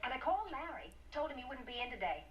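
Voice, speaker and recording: A woman's voice: high-pitched, very animated, racing, muffled recording, over a noisy crowd. A woman playing a fussing, solicitous woman in a film drama, with dramatic delivery.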